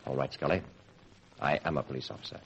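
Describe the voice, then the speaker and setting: An actor playing an earnest, plucky young police constable in a radio drama. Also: male voice, a bass voice, fast, gravelly, husky, lo-fi sound, exaggerated voice. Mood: composed, protesting. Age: young adult.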